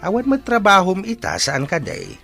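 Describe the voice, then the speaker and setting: A man with an Ilocano accent acting a caring, worried father who gently coaxes his grown daughter in an audio drama. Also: high voice, huge pitch swings, normal speed, vocal fry, faint music behind, heavily acted. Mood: worried, fond.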